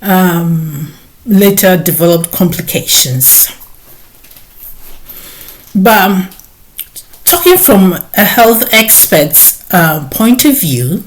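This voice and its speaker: Slow-speaking woman from Ghana, hesitant. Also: fairly low pitch, expressive. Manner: slow, hesitant, many filler pauses. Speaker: nurse and vlog host. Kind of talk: personal talk to camera.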